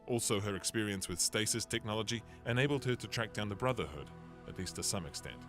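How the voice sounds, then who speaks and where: Guy playing a young space captain telling the story, lowish voice, music behind. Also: fast, fairly bright tone, natural voice. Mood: earnest. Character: young male ship captain, earnest narrator. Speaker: game narrator. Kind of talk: scripted game narration. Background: game music.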